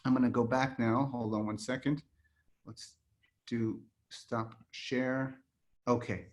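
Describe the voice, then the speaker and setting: A man's voice: medium pitch, little pitch movement, slow. A man from Israel leads an online history lecture over a video call, explaining in a conversational way with frequent fillers and restarts. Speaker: lecturer and guide for an online series.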